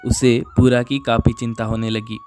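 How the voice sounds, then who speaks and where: A man's voice: medium pitch, little pitch movement, moderate pace, darkish tone, slight background noise. A man narrating a scripted children's moral story. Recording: music under the voice. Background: background music.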